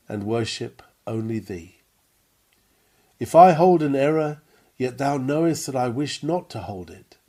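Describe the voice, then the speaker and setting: A man reading a sermon aloud in an earnest, measured, emphatic preaching manner. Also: mid-range voice, mellow. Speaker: reader of a printed sermon. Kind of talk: read-aloud sermon, devotional.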